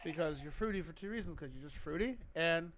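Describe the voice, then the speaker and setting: A man's voice: on the high side, fast, fairly bright tone, slight background noise. A man chatting casually as a game streamer, rambling and teasing in a playful way over cutscenes.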